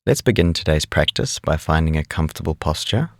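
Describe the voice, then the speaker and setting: Low, creaky-voiced man guiding meditation. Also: no room sound, clean studio sound. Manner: calm, unhurried instructing. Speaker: meditation instructor. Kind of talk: guided meditation narration.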